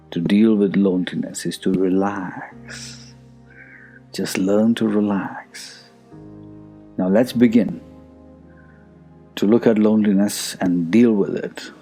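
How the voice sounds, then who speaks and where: A man leading a guided meditation in a soothing, unhurried manner that is hushed at times. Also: deep voice. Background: soft music.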